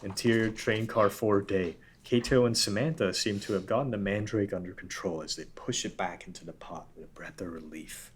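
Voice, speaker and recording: Low-pitched man reading screenplay directions. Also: normal speed, few pauses. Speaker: narrator. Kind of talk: scripted narration, screenplay stage directions. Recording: background room noise.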